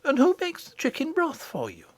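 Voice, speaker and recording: A woman's voice: high voice, huge pitch swings, normal speed, voice pushed up. A woman voicing an innocent, curious little princess in a storybook audiobook, with eager, frank, childlike questions.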